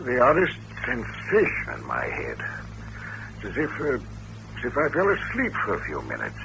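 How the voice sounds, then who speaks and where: An actor playing an uneasy, inquisitive senator in an old-time radio drama, asking formal questions in a hesitant, stammering way. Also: male voice, medium pitch, huge pitch swings, moderate pace, creaky. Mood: bewildered. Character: uneasy, inquisitive male senator touring an institution. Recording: dull old radio recording, faint hiss.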